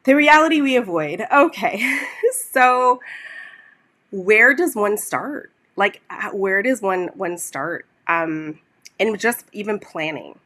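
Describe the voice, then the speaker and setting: Bright-voiced woman host asking questions. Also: mid-range voice, lively intonation, slow pace. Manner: friendly, hesitant questions. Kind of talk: casual interview talk.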